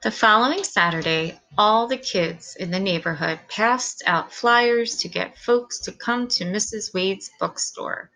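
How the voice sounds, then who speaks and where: A woman's voice: medium pitch, a little slow, few pauses, pushed and bright, rough recording. A woman reading a children's story aloud as scripted narration.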